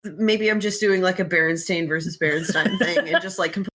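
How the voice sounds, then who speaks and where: A woman's voice: fairly low pitch, brisk, fairly bright tone. A woman hosts a casual podcast chat. She talks informally and swears freely, with a giggly manner as she retells and comments on a story. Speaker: podcast host.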